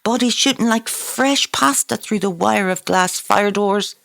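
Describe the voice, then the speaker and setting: A woman's voice: mid-range voice, a little slow, gravelly, a bit bright, no echo, clean studio sound. A woman reading their own literary novel aloud in a dramatic, incantatory manner. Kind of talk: literary audiobook narration.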